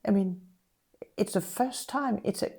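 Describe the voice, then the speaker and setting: A woman career coach talks things through in a relaxed conversation. Her voice is gravelly and warm, with a mellow tone. She reflects calmly and leans on everyday examples. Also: medium pitch, unhurried. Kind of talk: casual conversation.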